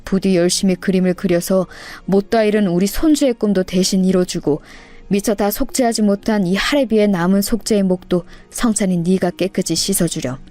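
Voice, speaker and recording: A woman voicing an elderly male school guard, gruff but tender, reading out his slow, plain-spoken farewell letter in a story. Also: medium pitch, mellow, soft background music, lightly acted. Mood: wistful, tender.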